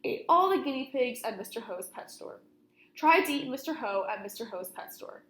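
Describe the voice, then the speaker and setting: A young woman reads a children's book aloud in a fairly high voice. The room is roomy and echoing, and her delivery is that of storytelling for kids. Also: lively intonation, moderate pace, a bit bright. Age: young adult.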